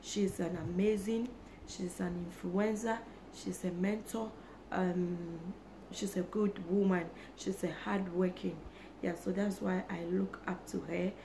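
A hoarse woman, chatting slowly to camera for her channel and often repeating herself. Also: lowish voice, fairly even intonation, noisy background. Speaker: YouTuber. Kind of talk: casual talk to camera. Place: small indoor room.

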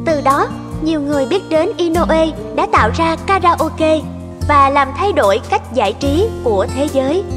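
A woman reading scripted narration for an animated story. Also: high-pitched, big-room sound. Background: background music.

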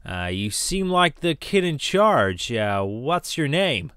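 Curious reporter, played by a man, slow unhurried questions, dry sound. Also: a little high, very animated, a bit bright, clear, resonant tone, no room sound, exaggerated voice. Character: adult male newspaper reporter.

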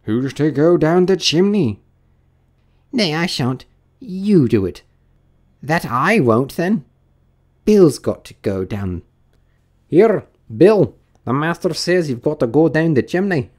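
Man doing raised-pitch bickering animal workmen. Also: sing-song, full character voice. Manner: brisk, colloquial, shouting orders.

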